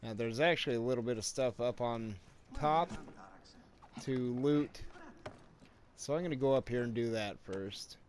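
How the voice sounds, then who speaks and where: A man's voice: mid-range voice, a little slow, pauses often, a little hiss or hum. A man giving casual gameplay commentary as the host of a walkthrough. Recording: loud game music behind. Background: game music and sound effects.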